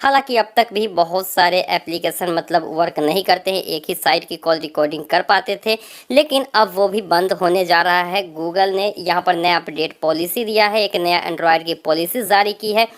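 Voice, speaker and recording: An Indian woman narrates an informal tech news update. Her voice sits a little low for a woman. She addresses viewers directly in a conversational way, leaning on habitual filler phrases. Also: normal speed.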